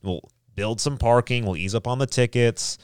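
A man chats casually as a podcast host, with a clean, smooth voice and a middle pitch. He talks informally and a bit haltingly, in a very dry space. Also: dry recording. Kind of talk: casual chat.

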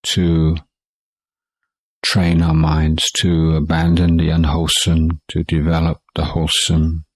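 A man teaching guided meditation in a calm, measured way, leaving long pauses between short phrases. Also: a bass voice, no echo. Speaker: Buddhist monk meditation teacher.

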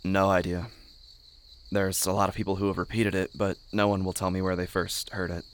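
A young man with a deep voice talks hesitantly, tripping over his words, as a male actor plays him. He is awkward and troubled, an audio drama camper, with faint night noise and crickets behind him. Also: level tone, natural voice. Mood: awkward, anxious. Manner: halting, hesitant, stammering. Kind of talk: naturalistic audio drama dialogue. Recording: noisy background with chirping crickets.

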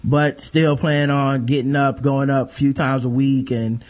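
A man hosting a podcast, talking in a laid-back, rambling way with lots of fillers and a high-pitched voice. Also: little pitch movement, unhurried, darkish tone. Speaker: podcast host. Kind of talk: casual podcast chat.

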